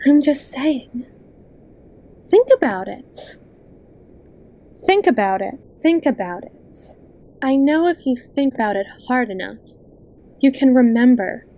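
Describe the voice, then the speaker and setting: A woman plays a sly, possessive best friend in an ASMR roleplay. Her voice is fairly high and soft, and she takes her time over every phrase. She coaxes and talks down to the listener like a hypnotist easing someone under her control. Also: lively intonation, exaggerated voice. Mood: soothing, controlling. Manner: slow, coaxing, condescending, with lingering pauses.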